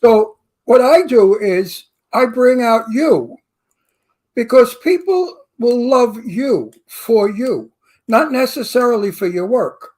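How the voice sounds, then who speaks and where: A man's voice: very high voice, expressive, takes it slowly, a little mellow, low-quality recording. A man co-hosting a radio interview show, talking bluntly and gossiping with casual swearing.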